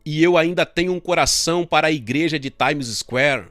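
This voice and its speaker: A man's voice: on the high side, normal speed, fairly bright tone, no room sound. A man with a Brazilian accent reading a dubbed sermon as a first-person testimony.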